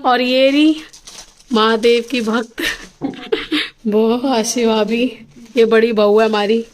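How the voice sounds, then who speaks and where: A woman's voice: fairly high pitch, fairly even intonation, slow. A young woman with a sore throat, chatting casually to her vlog viewers and showing things one by one to the camera. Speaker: vlogger. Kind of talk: casual family vlog chat.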